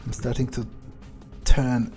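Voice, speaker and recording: A man narrating a video game in character, thinking aloud in an understated, musing way with frequent pauses. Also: medium pitch, level tone, music in the background. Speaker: gamer playing in character.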